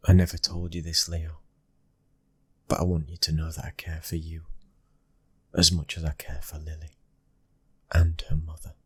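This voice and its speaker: Guy acting as a devoted mafia husband, british, super low breathy voice, half whispering, soft asmr roleplay, very husky. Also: monotone, moderate pace, long pauses, dry recording. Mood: proud, sincere. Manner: hushed, often whispering, gentle and intimate. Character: tender, devoted mafia man, husband and proud father.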